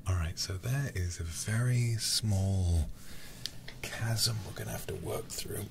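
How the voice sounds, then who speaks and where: A man's voice: on the low side, takes it slowly, gravelly, a little mellow, a little hiss or hum. A man livestreaming a game ASMR-style, murmuring to himself and to his viewers close to the microphone. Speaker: livestreamer playing a horror game. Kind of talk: whispered ASMR game stream chat.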